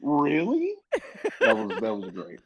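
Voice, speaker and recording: A man in a casual panel chat, talking haltingly with repeated and restarted phrases, over a slightly dull lo-fi connection. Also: on the low side, wildly swooping pitch, crowd noise. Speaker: guest on an audio drama after-show.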